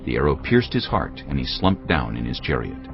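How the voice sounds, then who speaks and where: A man's voice: a bass voice, sing-song, brisk, creaky. A man narrating a dramatised audio Bible as a scripted, dramatic reading. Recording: dull lo-fi audio, music bed.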